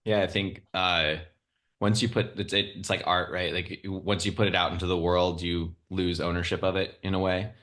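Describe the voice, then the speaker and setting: A man's voice: low voice, level tone, moderate pace, fairly bright tone. A man hosting a casual interview, easygoing and affirming, asking loosely phrased questions. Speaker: podcast host, interviewer.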